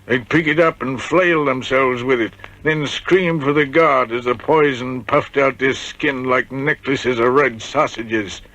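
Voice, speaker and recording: An actor playing an old ex-convict in a radio drama, telling vivid, brooding tales of a prison escape. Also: male voice, medium pitch, a little slow, hardly any pauses, sharp tone. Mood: grimly reminiscing. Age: older. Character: old male ex-convict haunted by Devil's Island escape. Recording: muffled vintage radio drama recording.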